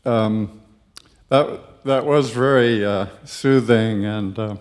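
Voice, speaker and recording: Very slow, hesitant man sharing a story. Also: fairly low pitch. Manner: hesitant, full of ums and pauses. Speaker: congregation member. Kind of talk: personal sharing at a service. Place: church service.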